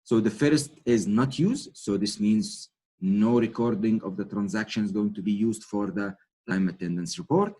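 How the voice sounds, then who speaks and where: A man from Egypt with an Egyptian Arabic accent, a native Arabic speaker, giving a webinar walkthrough of software settings, with frequent self-corrections and fillers. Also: lowish voice, lively intonation, moderate pace, husky. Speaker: product trainer.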